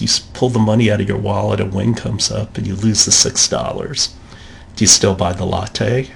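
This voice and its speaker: A man's voice: fairly low pitch, gravelly, a little hiss or hum. A man giving a recorded college lecture in a conversational way, acting out the thoughts of shoppers in quotes.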